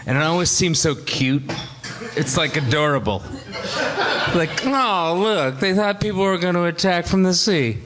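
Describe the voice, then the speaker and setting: A man's voice: fairly high pitch, lively intonation, moderate pace. A man from New England chatting casually and comically as a guest on a live podcast. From New England, United States. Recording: very noisy live stage recording.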